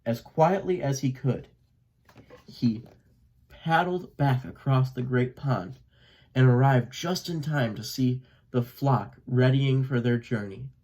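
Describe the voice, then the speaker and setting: Male storybook narrator, mid-pitched, very unhurried, expressive children's read-aloud. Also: poor audio. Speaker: storybook reader.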